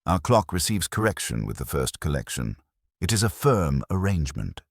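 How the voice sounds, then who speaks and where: A man's voice: low voice, very animated, normal speed, vocal fry, no echo, exaggerated voice. A prim and orderly village postmistress from a storybook mystery, acted out by a male narrator. She speaks formally and precisely, with officious certainty. The intonation rises and falls freely in a dry, close sound.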